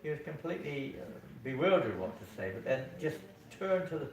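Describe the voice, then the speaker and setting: A man's voice: on the high side, big pitch swings, fairly quick, darkish tone, a little hiss or hum. A man hosting a gathering of offerings, talking in a chatty, loose way and thinking aloud between speakers. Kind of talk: informal emceeing. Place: temple hall. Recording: echoey hall, voice from far off.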